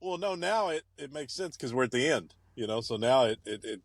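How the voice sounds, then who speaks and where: A man's voice: mid-range voice, animated, brisk, dry recording. A man co-hosting a podcast from his car, laid-back and hesitant, often trailing off. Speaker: podcast co-host and aspiring stand-up comic. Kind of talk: casual banter.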